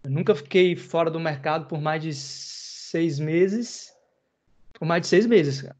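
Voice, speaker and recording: A man's voice: a little high, unhurried. A man from Bahia, chatting casually and loosely as a podcast host, with easy laughs and slang. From Bahia, Brazil. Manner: relaxed, rambling, slangy, laughs easily. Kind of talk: casual chat. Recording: dull lo-fi sound.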